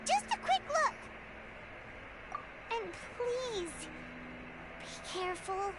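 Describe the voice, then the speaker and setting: A woman voicing a tiny, childlike, excitable fairy companion in a video game, squeaky and emphatic. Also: drawn-out words, noisy background, full character voice. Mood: worried, pleading. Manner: refers to self in third person, emphatic. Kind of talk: animated video game voice acting.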